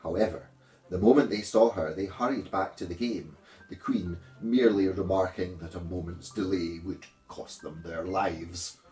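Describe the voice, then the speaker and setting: A man reading a children's story aloud in a measured, expressive way. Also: low-pitched, echoey room, faint music behind, rough recording. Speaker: storybook reader, primary school teacher.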